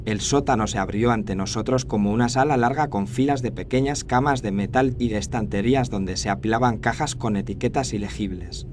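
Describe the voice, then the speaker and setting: A man's voice: mid-range voice, fairly quick, hardly any pauses. A man reading a scripted first-person horror story as its narrator. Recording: faint noise and ambience behind narration.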